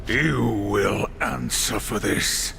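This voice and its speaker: An actor playing a stern, dutiful supernatural warrior in a video game, grave and terse with a very gravelly voice. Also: male voice, fairly low pitch, drawn-out words, background noise, heavily acted. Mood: accusing. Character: stern, dutiful, grim male horseman warrior. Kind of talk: video game cutscene acting.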